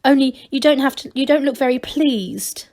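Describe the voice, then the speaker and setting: A woman's voice: sits high, normal speed, a little mellow, voice pushed up. A worried gnome leader in a children's story, read by a woman. The voice is raised a little, polite and hesitant, anxious yet kind. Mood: hesitant, kindly.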